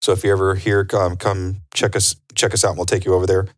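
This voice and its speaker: A man's voice: deep voice, flat delivery, fast, dry recording, clean studio sound. A man hosting a casual fitness podcast, joking around with his co-hosts. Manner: joking, does goofy "ba ba ba" sound effects. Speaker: podcast host.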